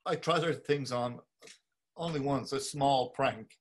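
A man's voice: medium pitch, takes it slowly, breathy edge. An actor playing a meek, grovelling middle-aged man, pleading and theatrical, in a dramatic reading over a video call. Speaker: stage actor.

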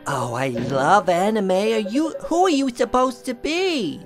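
A performer voicing a friendly, chirpy female hotel receptionist in a video game, polite and drawn-out. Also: mid-range voice, lively intonation, over music, voice pushed up, natural voice. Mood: friendly, curious.

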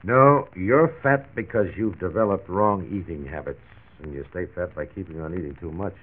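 An actor playing a frank, reassuring family doctor who explains firmly, in 1940s radio style. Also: male voice, low voice, normal speed, a little hiss or hum, lightly acted. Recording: tinny 1940s radio transcription disc.

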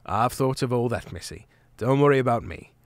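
A man voicing a calm, noble mouse warrior. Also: brisk, dry recording, natural voice. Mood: reassuring. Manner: low, steady, solemn.

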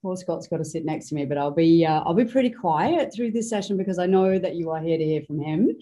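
A woman in her forties with a rough, hoarse voice, hosting a live webinar in a chatty, welcoming way. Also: lowish voice, lively intonation, quick pace, dark tone. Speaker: webinar host. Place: studio with branded backdrop.

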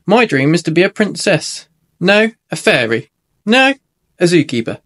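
A little girl mouse from a storybook with big, changing dreams who keeps switching her answer. A man reads her lines in a voice close to his own, a little on the high side. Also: sing-song, normal speed, fairly bright tone, full character voice.

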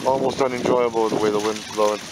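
A man's voice: lowish voice, steady tone, fast talker. A man vlogging while fishing on a rocky lakeshore, chatting upbeat to his viewers with lots of fillers. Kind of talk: casual chat to camera. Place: rocky lakeshore outdoors, windy. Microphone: camera mic in strong wind. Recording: loud wind and waves on mic.

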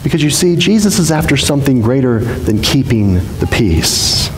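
A man in his forties, a pastor giving a sermon from a pulpit in a church sanctuary, speaking earnestly and slowing down for emphasis. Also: mid-range voice, animated. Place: large church sanctuary, indoors. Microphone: headset mic at a pulpit. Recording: echoey hall reverb, faint hiss.

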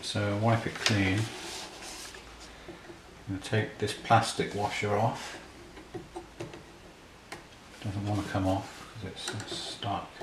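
Male hobbyist repairer, deep-pitched, matter-of-fact, talking through his work in an echoey room. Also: little pitch movement, slow, pauses often, background noise. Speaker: repair hobbyist demonstrating a restoration. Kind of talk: casual hands-on tutorial commentary, thinking aloud while working. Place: indoors at a repair workbench. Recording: roomy, clear handling clatter and clicks.

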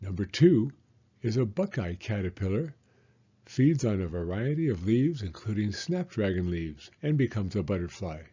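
A man in his sixties reading a children's picture book aloud in a measured storytelling manner. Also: on the low side, sing-song, dark tone, husky, lo-fi sound. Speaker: story reader and host, elementary school teacher.

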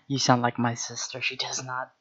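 Chill guy doing reaction commentary, middle pitch, kinda muffled lo-fi mic. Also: pushed and bright, breathy edge. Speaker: reaction channel host. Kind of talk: casual commentary.

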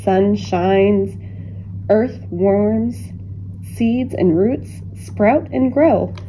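A woman reading a children's picture book aloud with deliberate, expressive phrasing and dramatic pauses. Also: mid-range voice, a little hiss or hum, poor audio. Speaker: children's storybook reader.

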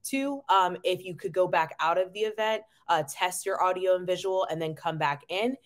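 Lady nurse running a webinar from home, low-ish voice, lots of ums n laughs. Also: normal speed. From North Carolina, US. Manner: chatty and upbeat, laughs often, many ums. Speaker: nurse community manager hosting a webinar. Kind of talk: casual webinar presentation. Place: home room. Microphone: video call.

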